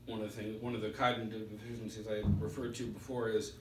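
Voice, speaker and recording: A middle-aged man with a stutter gives a personal testimony at a recovery meeting, speaking in halting, spaced-out phrases with pauses. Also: on the low side, level tone. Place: indoor meeting room. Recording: roomy, distant mic with background hiss.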